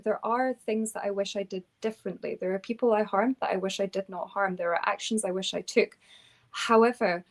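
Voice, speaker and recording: A woman's voice: medium pitch, darkish tone. A woman author being interviewed, talking earnestly and reflectively, often restarting her sentences with fillers. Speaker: author guest.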